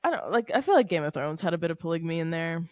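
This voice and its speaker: A woman's voice: deep voice, expressive, racing, darkish tone, no echo, clean studio sound. A woman from Tanzania co-hosting a podcast, chatting casually, laughing easily and speaking frankly. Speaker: podcast co-host. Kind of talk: casual chat.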